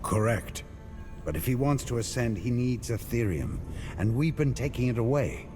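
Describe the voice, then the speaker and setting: A man's voice: mid-range voice, sing-song, moderate pace, over music, close to an everyday voice. A man playing a stern, resolute warrior in a fantasy game, speaking tersely and stoically. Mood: serious, probing.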